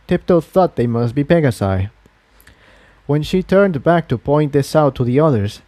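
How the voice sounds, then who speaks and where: A man's voice: mid-range voice, warm tone, dry recording. A man reading a fantasy adventure story aloud as its narrator. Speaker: fan fiction narrator.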